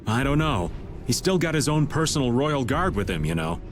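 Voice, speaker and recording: A man's voice: mid-range voice, big pitch swings, normal speed, background noise, close to an everyday voice. An actor playing an easygoing, wry middle-aged man in a game, over background music. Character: laid-back joking middle-aged man.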